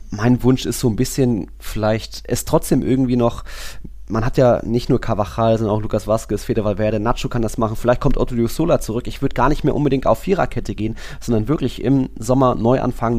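A man's voice: lowish voice, darkish tone. A man co-hosting a football podcast, analysing informally and thinking aloud in casual talk.

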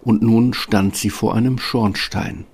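A man's voice: on the low side, steady tone, unhurried, darkish tone, breathy edge. A man narrating a fairy tale from a script as the storyteller of a radio play.

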